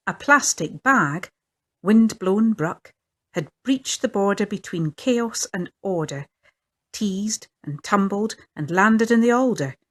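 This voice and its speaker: A woman's voice: medium pitch, animated, slow pace, a bit bright. A woman reading her own picture book aloud in a lilting storytelling manner. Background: faint fiddle music.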